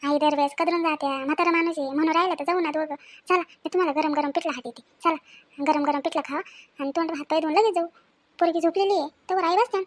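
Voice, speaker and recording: A woman acts out a village daughter-in-law with a very high voice and a rapid tongue. She complains and scolds in a colloquial rural Maharashtrian accent, full of household grievances, as in a family drama story. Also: steady tone. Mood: insistent, grumbling. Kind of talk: acted family story dialogue.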